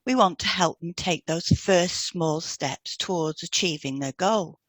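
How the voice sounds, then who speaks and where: A woman's voice: lowish voice, measured pace, no echo. A woman co-hosting a podcast, explaining therapy techniques in a scripted dialogue. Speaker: podcast co-host, hypnotherapist.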